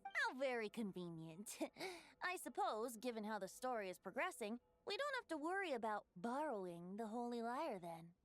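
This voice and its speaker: An actress playing an easygoing young adventurer in a video game, teasing and lighthearted. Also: female voice, fairly high pitch, big pitch swings, moderate pace, faint music behind. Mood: playful. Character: easygoing, playful young female traveling adventurer.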